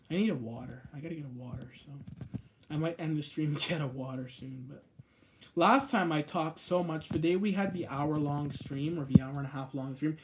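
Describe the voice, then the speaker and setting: A man with a slightly higher-than-usual voice hosts a live stream and chats casually with his viewers. He rambles from point to point, and his pitch jumps up sharply on the words he stresses. He talks straight into a phone. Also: very noisy. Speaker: YouTube live-stream host.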